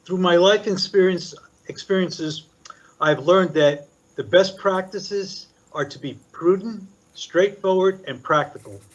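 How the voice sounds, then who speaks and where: Older man giving formal remarks, lo-fi. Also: high voice. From Puerto Rico. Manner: measured, deliberate delivery with listed points. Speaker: commissioner. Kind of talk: formal prepared statement at a public meeting. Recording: dull lo-fi meeting recording.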